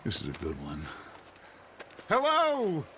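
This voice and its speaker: An actor playing a charismatic, cajoling gang leader in a video game cutscene, with a theatrical, preaching delivery. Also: male voice, high voice, huge pitch swings, slow, slight background noise, heavily acted. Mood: sly, placating.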